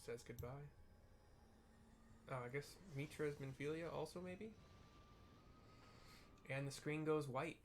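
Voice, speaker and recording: A man's voice: on the high side, measured pace, long pauses, slight background noise. A young man casually narrating a game cutscene in a rambling way, full of "like" and "kinda.". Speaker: let's play narrator.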